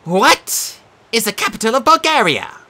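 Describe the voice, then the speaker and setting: A man voicing a gleeful female sphinx who hosts a game show, with a showy, drawn-out announcer delivery. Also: very high-pitched, wildly swooping pitch, bright, forceful tone, voice pushed up, heavily acted. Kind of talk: audiobook character voice.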